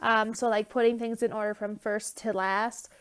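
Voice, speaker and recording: Young woman teacher, stuffy nose, chatty and casual, somewhat high, phone-recorded. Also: little pitch movement, normal speed, a bit bright, clean tone. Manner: loose and chatty, many fillers and okay check-ins. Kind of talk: casual remote lesson.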